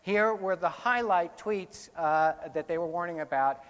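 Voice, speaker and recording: A man's voice: high voice, a little slow, smooth. American man presenting in an echoey hall. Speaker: conference keynote speaker. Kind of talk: conference presentation on research findings. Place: indoor conference hall, on stage. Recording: echoey hall with long reverb.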